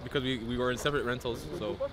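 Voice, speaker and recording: A man in his thirties, a basketball player, chatting casually to viewers in a travel vlog, giving quick updates and laughing often while he films himself at arm's length. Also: mid-range voice, steady tone, a crowd in the background. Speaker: basketball player vlogging a team road trip. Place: moving between cars, a gas station and a hotel. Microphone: handheld camera at arm's length.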